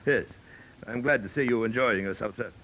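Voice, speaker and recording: An actor playing a suave, cold-blooded aristocratic hunter, formal and mockingly polite, in 1940s radio drama style. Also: male voice, medium pitch, fairly quick, a bit bright, lightly acted. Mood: smug, polite menace. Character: suave, cold-blooded titled big-game hunter villain. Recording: noisy, clicky old 1940s radio recording.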